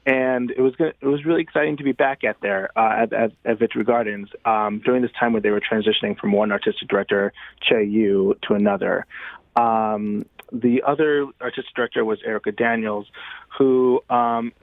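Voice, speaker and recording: A man being interviewed as a guest playwright, talking conversationally in a thoughtful, halting way with many pauses. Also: mid-range voice, steady tone, no room sound, narrow, tinny audio.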